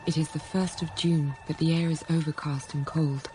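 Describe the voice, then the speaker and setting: A woman's voice: sits low, little pitch movement, moderate pace, music in the background, close to an everyday voice. An actress playing a troubled young woman who narrates her inner thoughts in a radio drama, intimate and introspective. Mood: hopeful longing.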